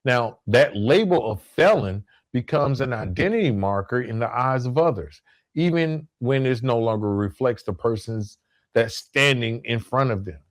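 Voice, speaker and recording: A man's voice: medium pitch, animated, moderate pace. A man hosting a solo podcast, explaining his points in an emphatic, rhetorical way and repeating key phrases for weight. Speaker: podcast host.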